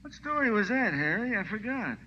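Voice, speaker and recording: High, swooping old rummy sailor, simple and loyal, vintage radio drama, dull recording. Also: male voice, big pitch swings, a little fast. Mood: befuddled. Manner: folksy ungrammatical speech. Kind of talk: 1940s radio drama acting.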